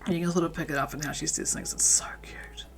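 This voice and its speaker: A woman's voice: low voice, steady tone, quick pace, creaky, in a whisper. Chatty woman from New Zealand. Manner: chatty, rambling, reads out and answers chat; muttering stitch counts under her breath. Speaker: crochet livestream host. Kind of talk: casual chat while crocheting.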